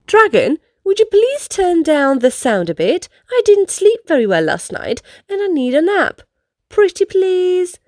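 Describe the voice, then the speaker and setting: A woman's voice: very high-pitched, huge pitch swings, a little slow, a little mellow, no room sound, studio quality, voice pushed up, exaggerated voice. A woman voicing a tired, polite female bear who pleads courteously in a children's storybook. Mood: pleading, polite. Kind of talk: children's picture book read-aloud.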